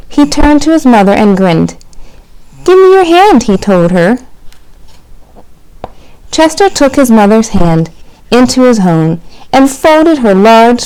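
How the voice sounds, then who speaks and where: A woman's voice: on the high side, warm tone, clear, resonant tone, poor audio. A woman in her thirties, a children's librarian, reading and presenting a storytime for young children.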